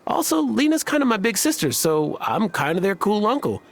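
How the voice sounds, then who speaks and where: A man's voice: high-pitched, very animated, normal speed, gravelly, a little hiss or hum, full character voice. A man playing a breezy young cool uncle in a video game, casual and playfully cocky. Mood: playful bragging.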